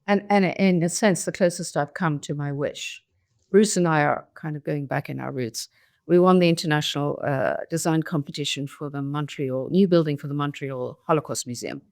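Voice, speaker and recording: A woman architect guest, speaking in short, soft-spoken replies. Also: deep voice, normal speed. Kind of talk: casual conversation.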